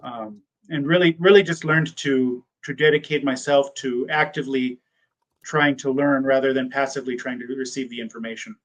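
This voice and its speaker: A man's voice: medium pitch, fairly even intonation, moderate pace, rough recording. A man in his thirties, a PA school applicant answering mock interview questions, hesitant and full of ums and restarted words. He is from the United States, with German as his first language. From born in Germany, raised in the United States.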